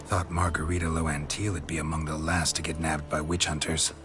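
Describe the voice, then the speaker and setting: An actor playing a laconic, weary, guarded monster hunter in video game dialogue, speaking tersely with understatement. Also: male voice, extremely low pitch, little pitch movement, fairly quick. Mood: dry. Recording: music playing behind the voice.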